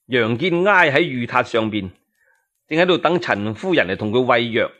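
A man's voice: mid-range voice, lively intonation, normal speed, fairly bright tone, muffled recording. A man with a Cantonese accent telling a story as scripted, dramatic narration.

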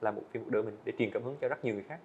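A young man talking quickly and earnestly with a middle-pitched voice, trying out his sentences again as he goes. The recording sounds a little dull and lo-fi, like a podcast studio set. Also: a little hiss or hum. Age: young adult. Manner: earnest, fast-talking, frequent small restarts. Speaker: student animator guest. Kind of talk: casual interview answers.

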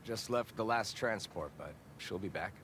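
An actor playing a stern male officer in a video game, speaking flat and businesslike. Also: fairly low pitch, moderate pace, slight background noise, natural voice. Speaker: game character. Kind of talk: video game cutscene acting.

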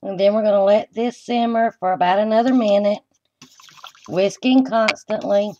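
A woman's voice: medium pitch, steady tone, normal speed, warm tone. Woman doing a folksy cooking demo in her kitchen, kinda lo-fi sound w some faint noise behind. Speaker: home cooking show host. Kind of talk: step-by-step cooking demonstration. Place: indoor home kitchen at the stove. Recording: dull lo-fi camera audio, kitchen noise.

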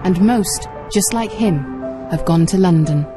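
A woman's voice: lowish voice, a little slow, over music. A woman reading scripted narration for a television documentary.

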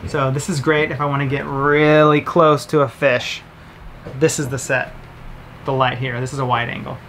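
Male vlogger, 30s, middle pitch, casual and upbeat; talks through gear on camera from inside a boat cabin, faint room hum behind. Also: level tone, moderate pace, a bit bright. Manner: chatty, upbeat, explains while handling gear. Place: cabin of a sailboat, indoors.

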